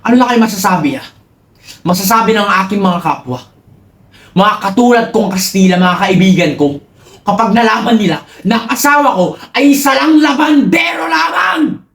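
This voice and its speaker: A man's voice: high voice, lively intonation, normal speed, gravelly, a bit bright, echoey room, full character voice. A man playing a pompous, abusive Spanish colonial army lieutenant in a boastful, demanding theatrical monologue. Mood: furious, contemptuous.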